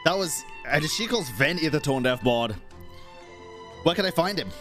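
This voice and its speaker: A woman's voice: low-pitched, expressive, normal speed, a bit bright. A woman streamer giving casual, reactive live gameplay commentary in slangy exclamations. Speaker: game streamer. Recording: game music behind.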